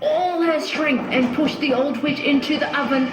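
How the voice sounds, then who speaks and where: A woman narrating a scripted fairy tale for children in an expressive, dramatic storytelling manner. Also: high-pitched, normal speed, noisy background. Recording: echoey, music under the voice.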